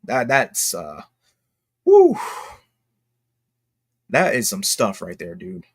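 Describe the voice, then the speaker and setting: An American man giving casual, unscripted online commentary in a halting, repetitive, slangy way. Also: fairly low pitch, wildly swooping pitch, breathy edge. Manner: halting and repetitive, slangy, addressing viewers as y'all. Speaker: online video commentator and host. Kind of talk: casual unscripted commentary, reading posts aloud.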